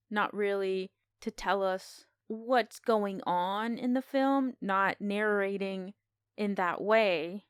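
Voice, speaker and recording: A woman's voice: medium pitch, clear, resonant tone, no echo, clean studio sound. A woman co-hosting a podcast, talking casually as she gives her review of a film.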